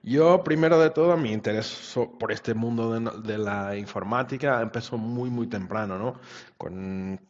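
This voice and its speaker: A dull, slightly muffled recording of a man with a low voice. He is a native Portuguese speaker giving casual interview answers. He speaks haltingly and often checks for agreement at the end of a phrase. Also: darkish tone. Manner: halting, often seeks agreement with tag questions. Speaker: interview guest, web developer.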